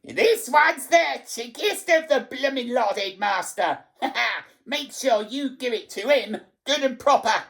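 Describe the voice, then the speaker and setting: Casting: nasty gleeful storybook crone, high screech, gloating cackle. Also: female voice, expressive, unhurried, creaky, hardly any pauses, a bit bright, heavily acted. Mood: vicious glee. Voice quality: shrill, screeching. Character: tiny loathsome gleeful old shrieking shopkeeper woman.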